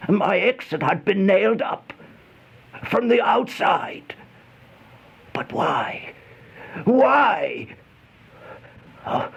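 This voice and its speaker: An actor playing a terrified, feverish man in an old-time radio horror monologue, stammering, gasping and melodramatic, with a creaky voice. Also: medium pitch. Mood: desperate, bewildered. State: panting, out of breath. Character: terrified, feverish man trapped with monstrous flies, horror narrator. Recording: dull, noisy old radio recording.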